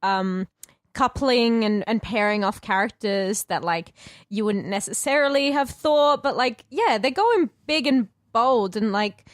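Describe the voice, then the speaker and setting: A woman's voice: a little high, lively intonation, measured pace, no room sound, clean studio sound. A woman co-hosting a podcast, chatting casually and peppering her talk with filler words like "like". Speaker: podcast co-host. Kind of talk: casual fan podcast chat.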